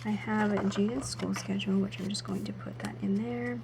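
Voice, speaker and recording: A woman vlogger narrating her planner setup in a soft, half-whispered voice, her throat still a little sore. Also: medium pitch, fairly even intonation, brisk, vocal fry, heavy background noise. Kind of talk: casual voice-over while setting up a planner.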